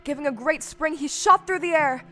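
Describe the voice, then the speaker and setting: A woman's voice: sits high, normal speed, pushed and bright. A woman narrator telling a children's story dramatically as part of an audio play. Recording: music behind the voice. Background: music.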